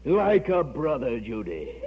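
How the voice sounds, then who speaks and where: A man's voice: mid-range voice, big pitch swings, a little slow, slightly rough, exaggerated voice. An actor playing a middle-aged, sarcastic, blustering boss and father in an old radio comedy. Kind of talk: 1940s radio comedy acting. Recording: dull old radio recording.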